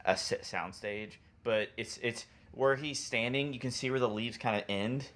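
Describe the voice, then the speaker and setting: A man's voice: medium pitch, normal speed, fairly bright tone. A man talking casually as a podcast co-host. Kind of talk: casual chat.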